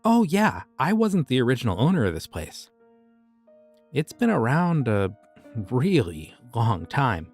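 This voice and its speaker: A man voicing a friendly but creepy young occult shopkeeper in an audio roleplay, talking in a casual, easygoing way about dark rituals. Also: mid-range voice, huge pitch swings, measured pace, faint music behind, exaggerated voice. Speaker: voice actor.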